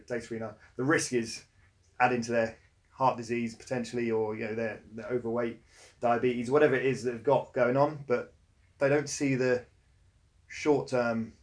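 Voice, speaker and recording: A man co-hosting a podcast, easygoing in casual chat and often trailing off mid-sentence. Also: medium pitch. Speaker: podcast co-host.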